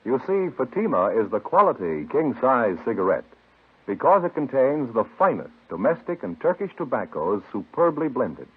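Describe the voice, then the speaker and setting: A man's voice: mid-range voice, sing-song, normal speed, slightly rough. A man announcing in an old radio commercial style, with an emphatic, polished sales delivery. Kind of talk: 1950s radio commercial narration. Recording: tinny, muffled vintage radio recording.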